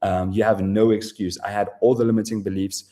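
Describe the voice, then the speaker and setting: A man's voice: fairly low pitch, level tone, fairly quick, mellow. A man with a South African accent presents a live sales webinar in a casual, profane, filler-heavy style.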